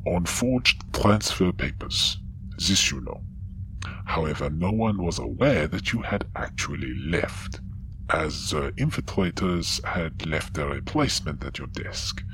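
A man's voice: extremely low pitch, lively intonation, a little slow, a bit bright, a little hiss or hum, full character voice, low-quality recording. A man voicing a blunt, clinical alien counselor in a sci-fi audio drama, with an Austrian-sounding accent and a habit of tagging "yeah?" onto statements. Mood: clinical, frank. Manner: frank, tags statements with "yeah?".

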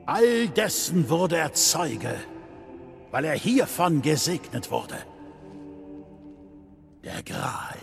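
An actor playing the solemn, grave leader of a knightly order in a game drama, speaking in ceremonial, measured oration. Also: male voice, a little high, very animated, vocal fry, music in the background, full character voice. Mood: solemn, mournful.

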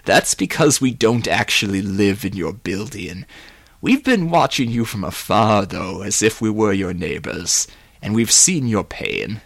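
A man voicing a calm, persuasive manipulator with a measured, insinuating delivery in an audiobook. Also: lowish voice, gravelly, no echo, exaggerated voice. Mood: calmly coaxing. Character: calm, persuasive manipulator coaxing toward revenge. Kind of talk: audiobook character voice.

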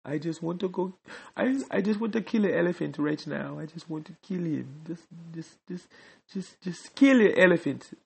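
A man's voice: fairly high pitch, moderate pace, gravelly, breathy edge. A man hosting his own podcast, delivering a joking, repetitive sports rant. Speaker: podcast host.

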